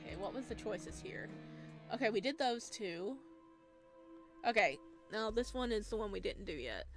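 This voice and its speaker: A woman game streamer reading visual novel text aloud with casual, expressive commentary. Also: mid-range voice, normal speed, over music.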